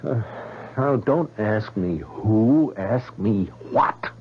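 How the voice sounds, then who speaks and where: An actor playing a troubled, obsessive scientist in a 1970s radio drama, speaking in a halting, insistent and defensive way. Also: male voice, fairly low pitch, animated, breathy edge, full character voice. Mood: uneasy. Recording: muffled vintage radio broadcast.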